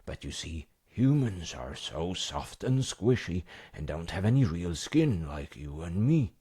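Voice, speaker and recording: A man voicing a big, gruff, fatherly mountain troll in a bedtime story, blustery and booming yet reassuring as he soothes a child. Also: very animated, a little slow, dark tone, full character voice. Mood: calm, reassuring.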